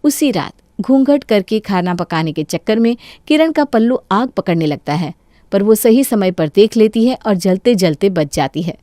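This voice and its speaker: A woman's voice: medium pitch, lively intonation, normal speed, warm tone, dry recording, clean studio sound. A woman giving scripted narration of a cartoon story.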